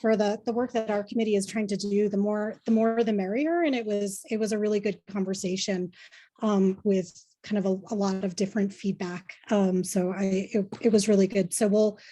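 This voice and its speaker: A woman's voice: mid-range voice, steady tone, fairly quick, mellow. Chatty woman at a community meeting. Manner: chatty, rambling with restarts. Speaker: committee member. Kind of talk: casual meeting update.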